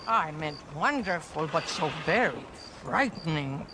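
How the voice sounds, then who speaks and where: An actress playing a devout, kindly religious sister, speaking with earnest, gently teasing care in a dramatic game scene. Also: female voice, deep voice, animated, a little slow. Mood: wry, warm. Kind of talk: dramatic game cutscene acting. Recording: faint background noise.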